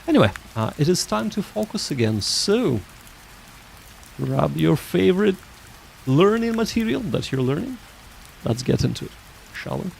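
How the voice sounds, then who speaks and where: A man hosting a study livestream, chatting casually in a halting, hesitant way. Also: high-pitched, sing-song, creaky, a little hiss or hum, rough recording.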